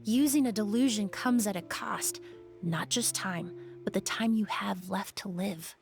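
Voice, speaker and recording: A woman voicing a composed, thoughtful young woman in a video game, grave and articulate in a measured way. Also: mid-range voice, vocal fry, music in the background. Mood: grave, reflective.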